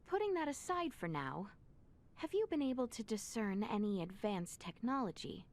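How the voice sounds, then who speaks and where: A woman playing a poised, dutiful young princess in a video game, speaking with refined, measured politeness. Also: mid-range voice, very animated, darkish tone. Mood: serious, guarded. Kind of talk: video game cutscene acting. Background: music.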